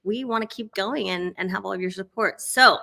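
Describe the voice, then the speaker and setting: Woman host, bright forceful tone, upbeat and laughing, casual interview chat. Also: medium pitch, brisk, creaky. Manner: upbeat, laughs often, fillers.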